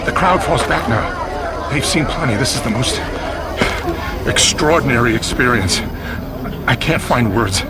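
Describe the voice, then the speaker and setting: An actor playing an awestruck, frightened radio news reporter giving a stammering eyewitness report in an audio drama. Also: male voice, mid-range voice, lively intonation, a little slow, long pauses, a loud crowd, heavy background noise, lightly acted. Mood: amazed, unsettled. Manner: breathless eyewitness description with stammered repeats.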